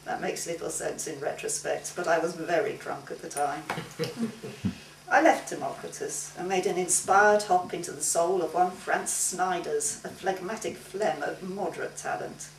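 A woman's voice: fairly low pitch, measured pace, vocal fry. A middle-aged woman reading a short story aloud as scripted first-person narration in a living room. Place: indoors in a living room at a home gathering. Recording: roomy, distant mic, faint hiss.

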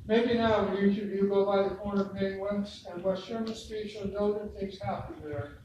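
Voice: medium pitch, fairly even intonation, moderate pace, a little mellow, dull audio. An older speaker from Oregon with an American accent, reading written reminiscences aloud at a local talk. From Lebanon, Oregon, United States. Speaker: long-time resident speaker at local history talk. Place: indoor meeting room with hard walls. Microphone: far camera across the room. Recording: distant mic, very echoey noisy room.

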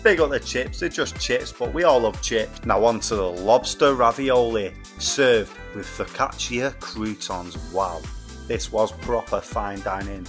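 A man's voice: fairly low pitch, a little slow, a bit bright, faint music behind. A man, reviewing a restaurant in a chatty, slangy food vlog voice-over. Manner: chatty, blunt, slangy and enthusiastic. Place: restaurant interior.

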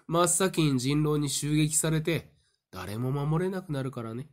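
A man playing a smug, calculating young fortune-teller in an audio drama, explaining things deliberately. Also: fairly high pitch, natural voice. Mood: coolly explaining.

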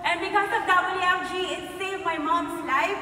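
A woman's voice: very high-pitched, steady tone, moderate pace, bright, forceful tone, a little hiss or hum. A woman giving an earnest personal testimonial, played on a screen in a large arena. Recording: echoey arena audio from far off.

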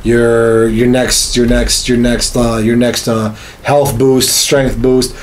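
Male podcast host, 30s, mid-pitched, casual and emphatic, profane, slightly noisy room recording. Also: little pitch movement, slow. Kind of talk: casual gaming chat. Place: small home studio room, indoors.

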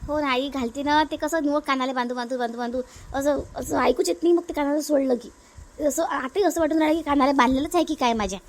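A young cartoon mother, played by a woman, with a very high voice. She is agreeable and chatty, explaining herself with a Varhadi Marathi accent. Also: fast. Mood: compliant, explaining. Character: young mother, compliant chatty daughter-in-law in family cartoon.

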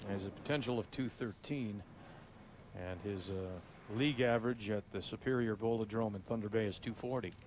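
A man's voice: lowish voice, normal speed. A man giving conversational, inquisitive live play-by-play commentary on a bowling match. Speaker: sports play-by-play announcer. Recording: live crowd noise behind. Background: audience and hall noise.

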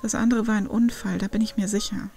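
A woman plays a caring young man in an audiobook. The friend sounds earnest, soothing and forgiving, at a medium pitch with faint music underneath. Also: fairly even intonation, quick pace, creaky, dark tone, lightly acted. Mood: gentle, forgiving. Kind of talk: acted audiobook dialogue.

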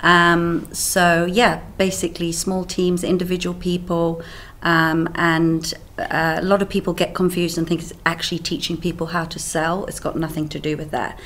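A woman's voice: on the low side, level tone, normal speed, hardly any pauses, a bit bright. A woman in her fifties with a South African accent, answering interview questions in a candid, chatty way. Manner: candid, chatty, laughs easily. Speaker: sales trainer guest.

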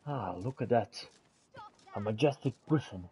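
A man's voice: fairly low pitch, expressive, unhurried, a little mellow, slight background noise. A man giving theatrical, in-character commentary as he plays a video game. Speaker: gamer roleplaying during gameplay. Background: video game ambience.

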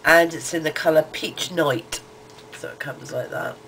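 A middle-aged woman talks close to her webcam in a small bedroom, showing off makeup she bought. Her voice is nasal and bunged up from a cold, and she wanders through her sentences with ums and restarts. The home recording carries a clear layer of background noise. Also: deep voice, a little slow. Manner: rambling, hesitant, lots of ums. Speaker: beauty vlogger. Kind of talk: casual makeup haul chat. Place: small cluttered bedroom, indoors. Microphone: webcam close to the face. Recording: noisy home webcam audio.

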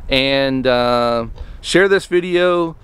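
A man's voice: mid-range voice, drawn-out words, fairly bright tone, smooth. Man vlogging outdoors at arm's length. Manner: rambling, with many ums and restarts. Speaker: homestead vlogger. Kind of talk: casual talk to camera. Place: outdoors on a farmstead, by the coops. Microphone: handheld camera at arm's length.

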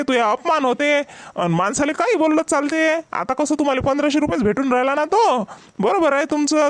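A man's voice: extremely high pitch, fairly bright tone, dry recording. A man voicing an exasperated village husband in a comedy cartoon, complaining sarcastically in a Vidarbha Marathi accent. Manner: complaining, sarcastic rustic banter. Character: exasperated, grumbling village husband with long-suffering temper.

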